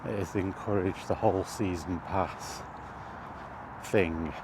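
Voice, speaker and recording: A British man giving rambling, casual commentary while walking outdoors, halting and thinking aloud as he goes. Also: low-pitched, fairly even intonation. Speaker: video host. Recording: outdoor ambient noise throughout.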